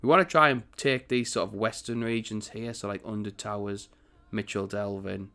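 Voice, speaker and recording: A man hosting a strategy game commentary, chatty and rambling, with a croaky morning voice. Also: on the low side, fairly even intonation, normal speed, pushed and bright. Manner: chatty, rambling, self-correcting. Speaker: gaming channel host.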